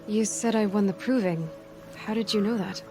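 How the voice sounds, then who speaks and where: A woman's voice: mid-range voice, normal speed, darkish tone, lightly acted, poor audio. Actress doing young huntress girl from a game, guarded, direct, asks questions, music playing behind her. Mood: inquiring, direct. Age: young adult. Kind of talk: video game voice acting.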